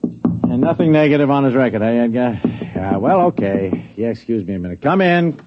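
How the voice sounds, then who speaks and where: An actor in an old radio drama playing a condescending, easily flustered lab security supervisor who stammers and repeats himself. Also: male voice, medium pitch, lively intonation, moderate pace, over music, lightly acted. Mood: dismissive, amused. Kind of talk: 1950s radio drama acting. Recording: dull vintage radio broadcast recording.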